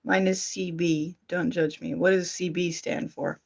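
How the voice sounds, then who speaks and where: Casual Australian woman streamer, American-tinged accent, lowish voice. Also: level tone, a little slow, vocal fry. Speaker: streamer and amateur voice actor. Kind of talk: casual livestream chat, reading and answering comments.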